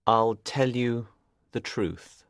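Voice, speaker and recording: A man voicing a polite, sly gentleman villain in an audiobook, measured and mournful in manner. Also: medium pitch, no room sound, clean studio sound, pitched above the performer's own voice. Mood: smooth, confiding. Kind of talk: audiobook character voice.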